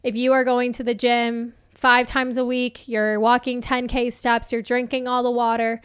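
A woman hosting a solo motivational podcast as a coach, chatting casually and encouragingly, her voice slightly hoarse. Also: on the high side, flat delivery, normal speed, no room sound, clean studio sound. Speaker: podcast host and life coach.

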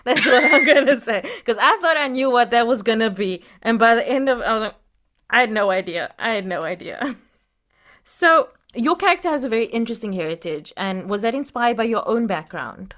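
A woman's voice: fairly high pitch, expressive, brisk, fairly bright tone. Woman from Africa, interviewer, chatty, says "like" a lot. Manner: chatty, with filler "like". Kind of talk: interview questions.

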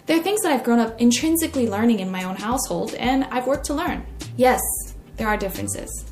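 A young woman with an American accent narrating a personal essay in an expressive way as a podcast host. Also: a little high. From United States. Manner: expressive, acts out quoted remarks. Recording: roomy echo, faint music.

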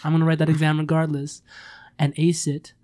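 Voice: mid-range voice, completely flat delivery, fairly quick, a little mellow. An effusive, encouraging radio host speaking casually in an interview, praising and thanking the guest.

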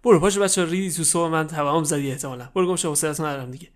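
A man's voice: a little high, close to an everyday voice. A man voicing a grumpy, rude older male hamburger boss who talks down to others. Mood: dismissive. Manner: dismissive, insulting, rushed.

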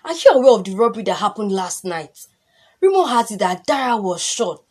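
Actress as animated Nigerian gossiping neighbour. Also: female voice, mid-range voice, fairly quick, breathy edge. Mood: gossipy. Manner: exclamatory. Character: concerned, gossipy neighbourhood woman. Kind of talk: radio drama acting.

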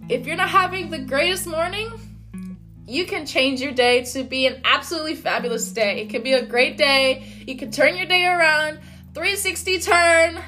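A loud, upbeat woman, hosting her own motivational podcast. Her voice sits high and bright, and she talks in an encouraging, casual way. Soft guitar music plays faintly behind her. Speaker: podcast host. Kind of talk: motivational solo podcast talk.

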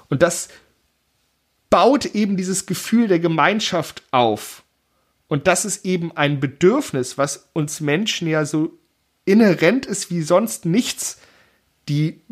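Measured, slightly high-voiced man explaining. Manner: measured, explanatory, hedging asides. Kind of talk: analytical discussion.

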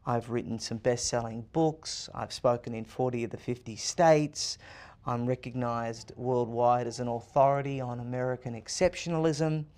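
A man's voice: medium pitch, fairly even intonation, moderate pace, a little mellow. A confident man in his thirties with an Australian accent, a guest answering interview questions in emphatic, list-like phrases. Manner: emphatic, confident, rhetorical lists. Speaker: podcast guest.

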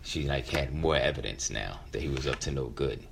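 A man in his fifties with an American accent and a low, deep voice recounts a personal story. His delivery is conversational and reflective, often halting or repeating a word as he goes. Noticeable background noise sits behind him. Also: vocal fry, fairly bright tone. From West Philadelphia, United States. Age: 50s. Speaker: featured storyteller.

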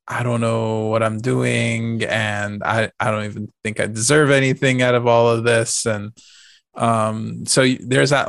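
A man in casual podcast conversation, with a gravelly creak running through a mid-pitched voice. The sound is dry, with no room around it. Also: fairly bright tone, no room sound. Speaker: podcast co-host. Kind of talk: casual chat.

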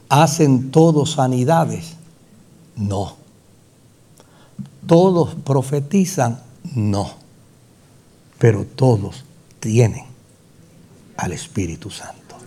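A man's voice: mid-range voice, dark tone, slightly rough. A man with a Puerto Rican accent preaching a sermon, building emphatic repetitions and rhetorical questions into fervent peaks. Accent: Puerto Rican Spanish. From Puerto Rico.

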